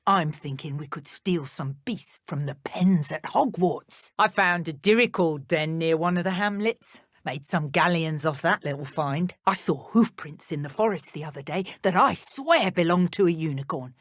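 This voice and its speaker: An actress playing a menacing, boastful dark witch henchwoman in a video game, with a British accent and a smug, taunting, theatrical delivery. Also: low-pitched, lively intonation, normal speed, few pauses, no echo, clean studio sound. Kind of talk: video game combat barks and idle chatter.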